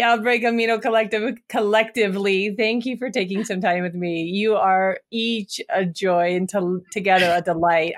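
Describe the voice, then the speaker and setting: Woman podcast host, gushing, mid pitch, dry close mic. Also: no echo. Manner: warm, gushing, laughs easily. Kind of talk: podcast interview.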